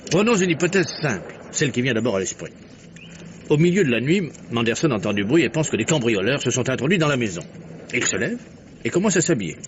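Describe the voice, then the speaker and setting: An actor playing a keen, talkative journalist turned amateur detective in an old French radio mystery, theatrical and reasoning step by step. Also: male voice, medium pitch, close to an everyday voice. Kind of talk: mid-century French radio mystery acting. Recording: noisy, muffled old radio drama recording.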